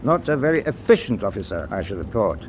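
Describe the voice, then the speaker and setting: An actor playing a stern, proud middle-aged German captain in a wartime radio drama, speaking in a clipped, deliberate, imperious way. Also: male voice, on the low side, big pitch swings, darkish tone, a little hiss or hum. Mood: cold, menacing.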